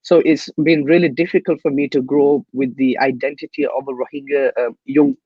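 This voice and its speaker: A young man from Myanmar, a guest activist, answering interview questions earnestly and haltingly, with many restarts. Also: medium pitch, fairly even intonation, breathy edge, dry recording, lo-fi sound. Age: young adult.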